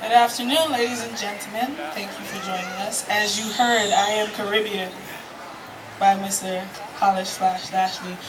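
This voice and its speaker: A woman's voice: mid-range voice, fairly even intonation, normal speed. A woman from Trinidad and Tobago giving a conversational, explanatory talk to a live festival audience. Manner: conversational and explanatory, addresses the crowd. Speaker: festival presenter. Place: outdoor festival stage area. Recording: distant mic with noticeable background noise.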